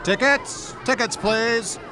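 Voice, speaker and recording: A man playing a brisk train conductor in a video game, calling out formally in a crowded train car. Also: very high voice, crowd noise. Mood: officious. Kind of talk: video game cutscene acting.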